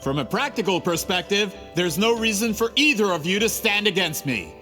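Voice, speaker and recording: Chciwego kupca-intryganta, wysoki jasny głos, mocno modulowany, pogłos i muzyka w tle. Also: male voice, high-pitched, expressive, normal speed, sharp tone. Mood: smug. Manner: smug, scornful, calculating. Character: greedy scheming adult male printing merchant. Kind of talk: game cutscene voice acting. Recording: game audio with reverb and music.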